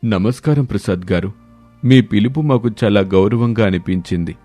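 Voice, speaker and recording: A man's voice: fairly low pitch, moderate pace, mellow, faint music behind, close to an everyday voice. A man playing a dignified, patriotic old army veteran, solemn and earnest, in a moral story. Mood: respectful.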